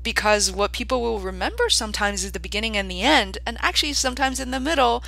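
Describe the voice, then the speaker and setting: A woman hosting a podcast, asking lively follow-up questions and talking at length between them. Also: fairly high pitch, quick pace, creaky. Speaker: podcast host, interviewer. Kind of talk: casual interview chat.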